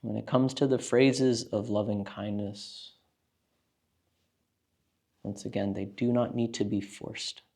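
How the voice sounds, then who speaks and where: Male meditation teacher, low pitch, creaky fry, calm, gentle, unhurried, long pauses. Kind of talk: guided meditation.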